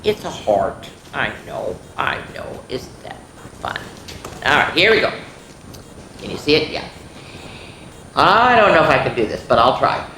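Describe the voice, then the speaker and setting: A woman hosting a story time for young children, reading in an animated, chatty way and putting questions to her young listeners. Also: a bass voice, a little slow, long pauses. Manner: chatty, asks listeners questions and answers them herself. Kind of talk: animated picture-book read-aloud for young children. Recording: echoey room with faint background noise.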